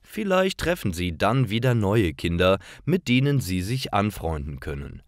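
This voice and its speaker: A man reading scripted stories for language learners, phrasing each short sentence deliberately and enunciating clearly. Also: on the low side, sing-song, no echo, clean studio sound. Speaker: narrator of German learning stories.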